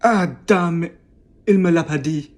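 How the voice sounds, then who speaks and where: A woman's voice: fairly low pitch, slow pace, a little mellow. A woman playing a businesslike, indifferent innkeeper passing on orders in an amateur radio play. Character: matter-of-fact innkeeper bearing an officer's orders.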